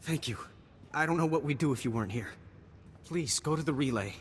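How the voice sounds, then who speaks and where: A man's voice: on the high side, animated, a little fast, close to an everyday voice. An actor playing an earnest, anxious male army lieutenant in a video game, urgent and sometimes faltering. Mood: tense. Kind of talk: scripted video game cutscene acting. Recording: long reverberant hall, faint background noise.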